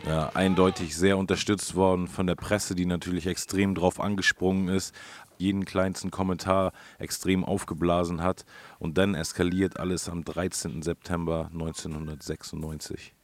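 A man's voice: low-pitched, little pitch movement, moderate pace, slight background noise. A man co-hosting a radio feature, telling the story in a casual, colloquial, conversational way.

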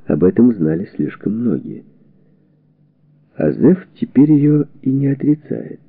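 A man reading a historical book as a scripted narration, in measured, deliberate phrases. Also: medium pitch, sing-song, breathy edge, dry recording. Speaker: audiobook narrator. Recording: narrow phone-like band, radio-like sound.

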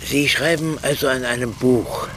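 A man's voice: medium pitch, steady tone, normal speed, background noise, lightly acted. An actor playing a frail, grieving old former clergyman, bitter and lonely, in a radio play. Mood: subdued, recounting. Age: older. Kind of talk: German radio play acting. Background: music.

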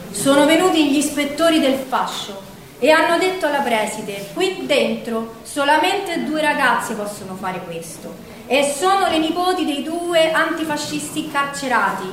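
A woman with a Roman accent playing a feisty working-class partisan who recalls her girlhood in a colloquial, emphatic stage monologue. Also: high-pitched. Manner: colloquial, emphatic, dramatic storyteller. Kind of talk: theatrical first-person storytelling monologue. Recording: very echoey hall, distant mic, faint noise.

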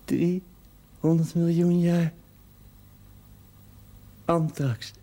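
An actor playing a gravely ill man under forty in a television drama, tender and resigned, speaking in halting, broken phrases with a husky voice. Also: a little high, steady tone, mellow.

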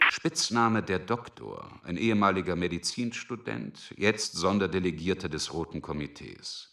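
A man's voice: low-pitched, big pitch swings, normal speed, vocal fry, fairly bright tone, heavily acted. A man reading scripted narration for a radio drama.

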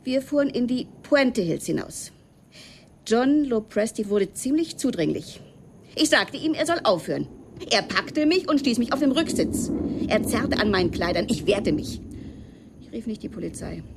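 A creaky-voiced woman in a radio drama, a former landlady giving testimony. She is emotional and halting as she confides old memories, with faint noise around her. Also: on the high side, sing-song. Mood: pained, agitated. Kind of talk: German radio drama acting.

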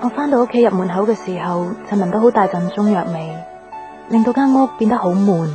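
Actress as a subdued, troubled young Cantonese daughter. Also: female voice, mid-range voice, brisk, over music, lightly acted. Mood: brooding. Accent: Hong Kong Cantonese. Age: young adult. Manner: subdued, reflective. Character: troubled, dutiful young daughter, lovelorn and introspective. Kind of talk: Cantonese radio drama acting with inner monologue.

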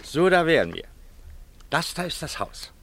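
An actor in a German radio play playing an earnest, impatient amateur detective, insistent and commanding. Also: male voice, fairly high pitch, sing-song, normal speed, vocal fry, a bit bright, a little hiss or hum, heavily acted. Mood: brisk, sceptical. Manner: insistent, matter-of-fact, emphatic.

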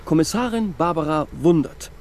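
A man's voice: fairly high pitch, expressive, normal speed, darkish tone, a little hiss or hum. An actor playing a cheeky, thoughtful male police detective in a German radio crime drama, speaking in a wry, conversational way. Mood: teasing.

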